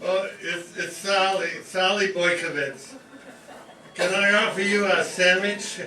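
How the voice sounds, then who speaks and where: A man's voice: sits high, fairly even intonation, a little slow, pushed and bright, heavily acted. A man playing a humble, friendly medieval lunch-cart seller in a live amateur stage radio play. He speaks hesitantly and stammers. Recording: roomy hall from far off, with music.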